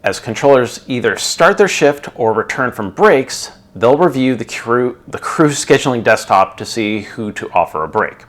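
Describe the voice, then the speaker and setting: A middle-aged man hosting his channel, narrating a scripted explainer in a clear, instructional way. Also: mid-range voice, expressive, moderate pace, hardly any pauses, a bit bright. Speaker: channel host explaining air traffic control.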